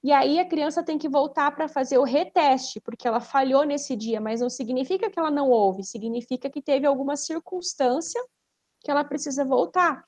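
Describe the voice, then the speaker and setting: A woman's voice: high-pitched, moderate pace, a little mellow. A woman with a Brazilian Portuguese accent, a speech therapist explaining conversationally as a podcast guest, didactic, posing questions and then answering them.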